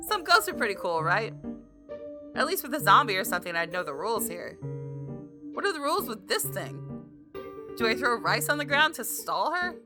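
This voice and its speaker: Animated woman narrating a game over music. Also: a little high, normal speed, pauses often. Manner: theatrical, animated storytelling. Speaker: gaming video host reading a visual novel aloud. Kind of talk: expressive playthrough narration with casual asides.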